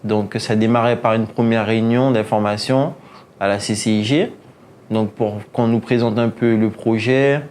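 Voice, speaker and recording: A man's voice: lowish voice, steady tone, moderate pace, darkish tone. A man of twenty-two speaking about his own experience in an interview. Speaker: programme participant. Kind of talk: personal testimonial. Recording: faint background noise.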